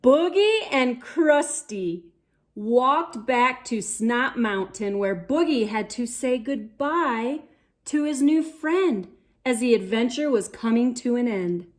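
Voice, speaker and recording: A woman storyteller reading aloud for children in an expressive, theatrical way. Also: on the high side. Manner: theatrical, deliberate delivery with dramatic gasps and exclamations. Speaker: storyteller, channel host.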